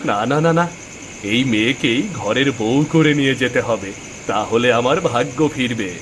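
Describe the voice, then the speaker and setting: A man playing an eager, superstitious and lazy young farmer in a cartoon moral tale, with an excitable, insistent, wheedling way of talking. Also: medium pitch, normal speed, slight background noise, close to an everyday voice. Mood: scheming.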